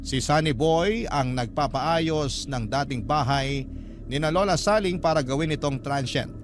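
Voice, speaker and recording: A man's voice: on the high side, a little slow, background noise. A man with a Filipino accent giving scripted first-person narration for a radio drama story.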